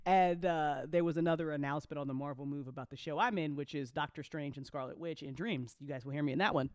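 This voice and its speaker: A woman hosting a casual after-show chat, telling stories in an animated way with acted-out quotes and casual swearing. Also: low-pitched, fast, dry recording, studio quality. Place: online group voice call.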